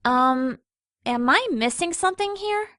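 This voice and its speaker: A woman's voice: sits high, lively intonation, unhurried, pushed and bright, dry recording, studio quality, full character voice. A woman voicing an earnest, puzzled young female pony princess in a cartoon fan fiction reading.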